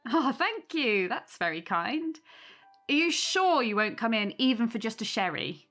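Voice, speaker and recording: An actress playing a modest young aunt hosting in an audio drama, polite, gentle and eager to please. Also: female voice, fairly high pitch, big pitch swings, unhurried.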